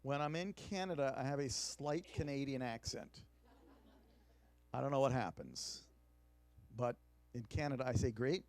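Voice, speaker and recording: A man's voice: mid-range voice, normal speed, pauses often, a little mellow. A middle-aged male clinical psychologist giving a conversational workshop lecture into a handheld mic in a conference room, now and then asking the audience questions.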